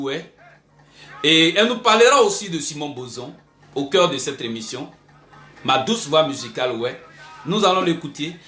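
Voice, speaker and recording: High-voiced Ivorian man hosting in an echoey room. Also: sharp tone. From Ivory Coast. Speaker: web radio-television host. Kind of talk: live show sign-off with blessings and announcements.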